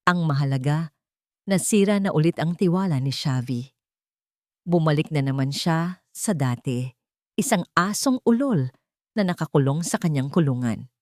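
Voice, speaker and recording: A woman narrator with a fairly low voice reads a scripted romantic drama. The recording is dry and close, with very little room sound. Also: lively intonation, moderate pace, pauses often, mellow, no echo.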